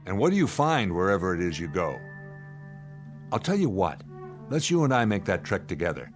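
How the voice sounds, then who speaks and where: Radio play lead: gruff, wry space commander, low voice with lively swings, weary and suspicious. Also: male voice, normal speed, faint music behind. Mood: confrontational. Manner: wry, curt, sarcastic. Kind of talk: radio drama acting.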